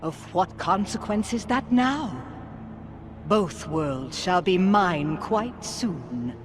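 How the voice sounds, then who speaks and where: Imperious, slow-speaking evil sorceress. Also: female voice, fairly low pitch, animated, noisy background. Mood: menacing. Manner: stately, pompous, theatrical. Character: imperious, menacing evil sorceress, cold and grandiose. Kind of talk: animated game cutscene acting. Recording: loud music behind the voice.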